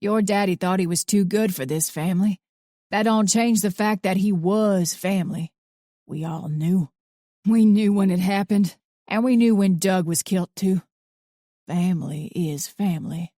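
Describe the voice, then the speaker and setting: A woman voicing a bitter, mean old man in his eighties who sneers and talks in rough country grammar. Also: mid-range voice, unhurried, dark tone, dry recording, clean studio sound, lightly acted.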